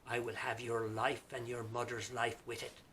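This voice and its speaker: A man's voice: medium pitch, monotone, normal speed, sharp tone, slight background noise, lightly acted. A man voicing a stern king who orders a barber to swear to keep his secret. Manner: stern, commanding.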